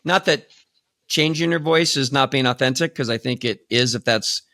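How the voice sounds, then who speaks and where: A man's voice: mid-range voice, normal speed, sharp tone, dry recording, studio quality. A man hosting a conversational podcast interview in his natural, unaltered speaking voice. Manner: speaks in natural, unaltered voice when presenting feminine.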